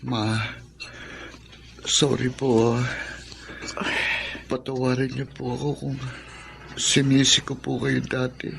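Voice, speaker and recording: An actor playing a gentle, earnest young son in a Filipino radio drama. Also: male voice, medium pitch, flat delivery, slow, gravelly, pauses often. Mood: remorseful. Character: gentle, remorseful teenage son in a wheelchair. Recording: noisy ambience behind the voice.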